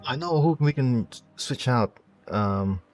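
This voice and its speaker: A man's voice: medium pitch, expressive, measured pace, a little mellow. A man giving casual playthrough commentary as a game streamer, talking in a hesitant, rambling way. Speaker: gaming streamer. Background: game music and dialogue.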